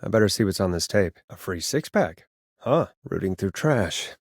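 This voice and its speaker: An earnest late-night radio host, close and dry. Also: male voice, sits low, expressive, moderate pace, no echo. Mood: wry muttering. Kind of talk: video game voice acting, conversational radio drama.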